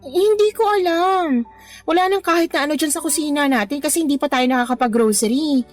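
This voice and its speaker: A woman's voice: high voice, soft background music, lightly acted. A woman playing an exasperated young girlfriend. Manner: exasperated, quick retorts. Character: patient young girlfriend who stands her ground. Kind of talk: Tagalog radio drama acting.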